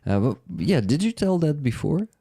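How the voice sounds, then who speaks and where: A man with a Ukrainian accent hosting a live stream, asking his guest questions in slightly halting English and filling in with short replies. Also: a little high, very animated, dark tone, breathy edge. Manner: friendly interviewer, many short backchannels, slightly halting. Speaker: stream host. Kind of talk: casual chat on a live stream.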